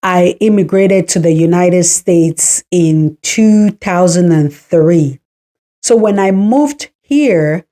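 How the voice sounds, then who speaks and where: Slow, soft-spoken woman from Cameroon. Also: medium pitch. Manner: slow, reflective, encouraging. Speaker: podcast host. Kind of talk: personal storytelling monologue.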